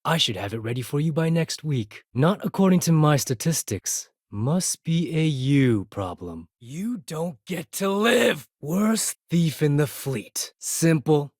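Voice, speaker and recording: A man's voice: on the high side, lively intonation, measured pace, few pauses, no echo, studio quality. A man playing a proud, sardonic accountant for a space pirate fleet in a video game, speaking in a precise, formal, condescending way. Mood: sarcastic, irritated, then angry, threatening. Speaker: video game character voice actor. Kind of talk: scripted game dialogue.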